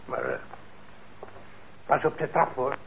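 Gravelly, kindly old craftsman. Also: medium pitch, wildly swooping pitch, slow, full character voice. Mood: mild, busy. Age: older. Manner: hesitant, mild, fatherly. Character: kindly, weary older male instrument repairer. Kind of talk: Dutch radio play acting. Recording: muffled, noisy old radio recording.

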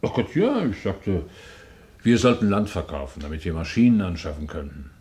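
An actor playing a chatty, awkward older farm manager with a folksy, rambling way of talking in a radio crime drama. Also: male voice, low voice, expressive, normal speed, a little mellow. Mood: grumbling. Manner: rambling, folksy, often quotes his wife.

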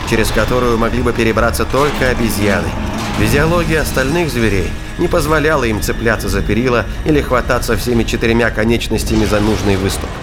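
A man giving a dramatic scripted narration of a science fiction thriller. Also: mid-range voice. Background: music.

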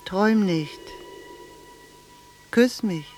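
An actress playing a blunt, wistful middle-aged village cashier in a German radio drama, speaking in a deliberate, intimate way. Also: female voice, mid-range voice, a little mellow, slight background noise.

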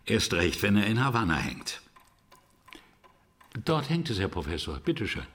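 An actor playing a guarded, courteous high official showing off a painting in a radio crime drama, with a husky voice and formal, measured manner. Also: male voice, big pitch swings, a bit bright, heavily acted.

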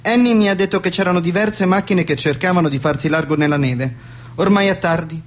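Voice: medium pitch, close to an everyday voice. A performer playing a dutiful male butler in a 1920s radio comedy, formal and deferential in manner. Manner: formal, deferential, measured announcements. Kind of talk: radio play acting of a 1920s stage comedy.

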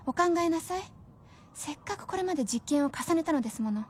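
An actress playing a gravely ill, devoted wife in a radio drama, serene yet eager, with genteel old-fashioned polite speech. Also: female voice, high-pitched, lively intonation, brisk. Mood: eager, fervent.

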